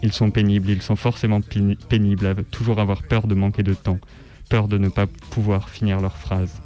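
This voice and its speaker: A man reading a written text aloud on the radio in a measured, articulate, declamatory way. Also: fairly low pitch, flat delivery, warm tone, soft background music. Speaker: radio reader. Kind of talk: scripted literary reading aloud.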